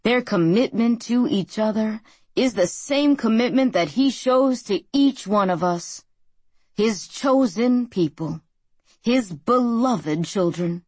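A woman's voice: a little high, lively intonation, slow pace, darkish tone, slightly rough, no echo, heavily acted. A woman voicing a male pastor who preaches a wedding sermon in a fervent, rolling pulpit style, as an audiobook character. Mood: preaching.

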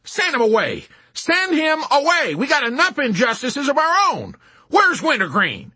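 Audiobook army general: shrill, wailing, composure gone, very high and forceful. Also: male voice, wildly swooping pitch, moderate pace, breathy edge, dry recording, full character voice. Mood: hysterical horror. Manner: shrill screaming, wailing, frantic. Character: hysterical pompous male army general, panicking and wailing.

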